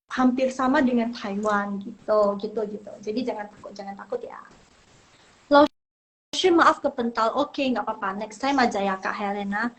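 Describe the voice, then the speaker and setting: Brief: young Indonesian woman teacher, fairly high voice, chatty and reassuring, repeats herself. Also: poor audio. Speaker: Mandarin teacher. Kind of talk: casual live online lesson.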